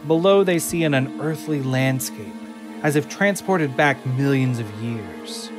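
A man narrating an audio drama from a script, in measured, descriptive storytelling. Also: mid-range voice, animated. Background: faint music.

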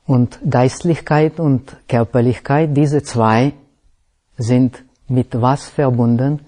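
A male meditation teacher speaks very slowly in a language that is not his own. His tone is mellow and relaxed. He often stops to search for a word and starts a phrase over. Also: mid-range voice. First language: not German. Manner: halting, searching for words, many pauses and self-corrections. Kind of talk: slow explanatory dharma talk.

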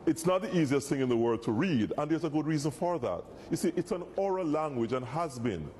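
A man's voice: a little high, lively intonation, fairly quick, a little mellow. A man with a Jamaican accent, a native Patois speaker, gives explanatory interview remarks as a reverend, recorded in a large hall. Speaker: Bible society reverend. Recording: very echoey hall with some noise.

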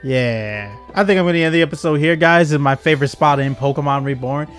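A man's voice: on the high side, quick pace, fairly bright tone. A man doing casual, laid-back gameplay commentary as the host of a gaming video, with mumbled asides. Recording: game music behind. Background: video game music.

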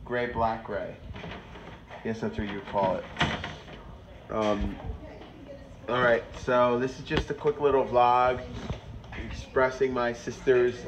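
A man's voice: mid-range voice, steady tone, slow pace, pauses often. A young man with a nasal, stuffed-up voice, rambling casually as he vlogs a small apartment tour on his phone. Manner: rambling, self-correcting, offhand jokes. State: nasal from a cold. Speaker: vlogger. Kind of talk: casual handheld vlog. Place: small city apartment indoors. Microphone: phone held in hand. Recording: noisy phone recording.

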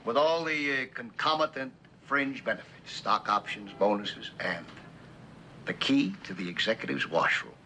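Low-voiced, bitter executive. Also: male voice, sharp tone, slight background noise. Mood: bitter, sardonic. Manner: halting, sardonic delivery. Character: bitter, disillusioned middle-aged corporate executive husband. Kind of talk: 1960s television drama acting.

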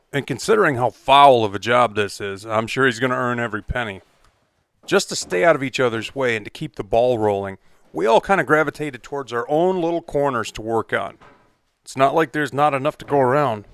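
A middle-aged man talks through his work in an easygoing, wry way, like a casual vlog. His voice sits at an ordinary pitch and is picked up by a camera mic outdoors in a pine forest. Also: a little fast, fairly bright tone, clear, resonant tone. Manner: easygoing, wry, matter-of-fact. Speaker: vlogger.

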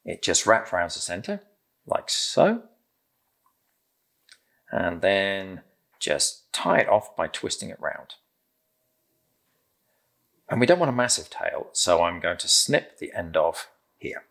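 A middle-aged British man with a low, slightly husky voice presents a craft demonstration. His delivery moves up and down with lively intonation as he gives simple, encouraging step-by-step instructions in a gentle manner. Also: takes it slowly, pauses often. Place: indoor room with timber-framed walls, at a table.

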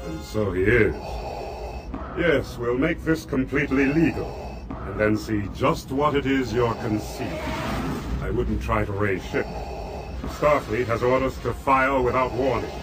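Guy doing a menacing imperious dark lord villain, radio play, mid pitched, music behind him. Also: close to an everyday voice. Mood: commanding. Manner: imperious, measured, threatening. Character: menacing masked dark lord, imperial enforcer, coldly commanding. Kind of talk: radio drama acting.

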